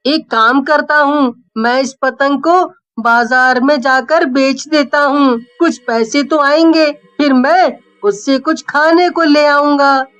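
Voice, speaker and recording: A caring, wistful young boy from a storybook, performed by a woman. The voice is very high and childlike, with slow, earnest phrasing. Music sits softly behind it. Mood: sad, resolute.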